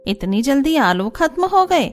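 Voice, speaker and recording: A woman's voice: high voice, very animated, measured pace, soft background music. A woman voicing a nosy, snide middle-aged neighbour in a cartoon, with a taunting manner. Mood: nosy teasing.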